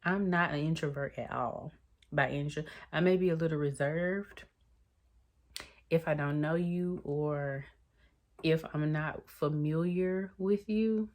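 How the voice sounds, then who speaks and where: A woman, chatting casually to her viewers and calling them y'all. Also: takes it slowly. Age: 30s. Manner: chatty, addresses viewers as y'all, lively swings in pitch. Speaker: vlogger. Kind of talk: casual chat to the camera.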